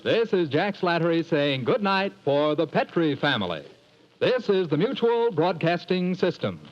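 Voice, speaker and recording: A man's voice: fairly high pitch, very animated, moderate pace. An American man announcing credits and sponsor copy in a 1940s radio style. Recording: dull, faintly noisy 1940s radio recording.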